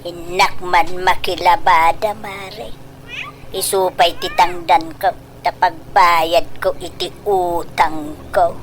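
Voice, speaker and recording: A woman acting a whiny, slow-talking mother-in-law. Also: fairly low pitch, little pitch movement, faint music behind. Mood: complaining. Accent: Ilocano. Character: scheming, indignant mother-in-law dodging her debts. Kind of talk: comedic Ilocano radio drama acting.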